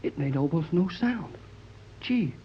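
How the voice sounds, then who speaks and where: An actor playing an eager, easily scared young American sidekick, boyish and wide-eyed, in a 1930s radio adventure serial. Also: male voice, fairly high pitch, animated, a little slow. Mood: puzzled, amazed. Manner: wide-eyed, folksy exclamations. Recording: muffled, lightly noisy 1930s radio recording.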